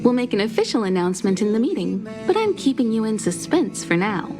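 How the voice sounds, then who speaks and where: An elegant, composed navigator in a video game. Her fairly high voice moves expressively with a wry edge, over music. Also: big pitch swings, a little fast. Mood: friendly. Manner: poised, wry. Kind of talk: video game character acting.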